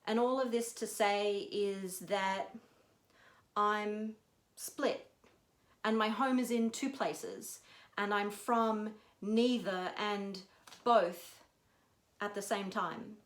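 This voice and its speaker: A woman from Australia with a softened Australian accent, performing a lyrical, reflective personal essay at a live reading. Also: mid-range voice, steady tone, slow, pauses often. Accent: Australian, softened by years in America. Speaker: storyteller. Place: bookshop café event room. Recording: echoey room.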